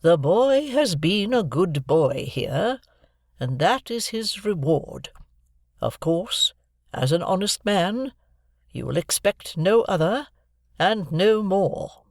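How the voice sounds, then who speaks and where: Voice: medium pitch, sing-song, takes it slowly, no room sound, studio quality, pitched above the performer's own voice. A reader voicing an imperious, eccentric old rich woman who speaks in a stately, emphatic, commanding way.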